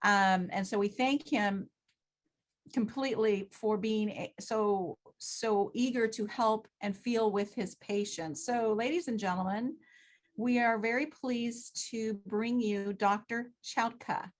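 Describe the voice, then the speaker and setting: A woman's voice: fairly high pitch, steady tone, takes it slowly. A woman hosting an online event, informally introducing and thanking a guest speaker. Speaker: event host. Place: online video meeting.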